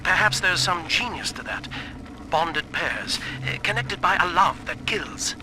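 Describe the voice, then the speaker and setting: A clinical, ruthless scientist in a video game. His fairly high voice muses with expressive rises and falls. The diary is swamped in noise. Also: measured pace. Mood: clinical, musing. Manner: precise, reflective. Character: clinical, musing male scientist, coolly ruthless. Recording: very noisy audio diary.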